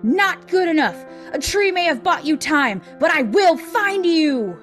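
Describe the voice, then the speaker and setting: A woman acts out a vampire villainess from a fantasy story. She is boastful and threatening, hungry for power. Her pitch swings widely, with music behind her. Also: animated, moderate pace, natural voice. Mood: shouted threat. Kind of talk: reading a fantasy story aloud.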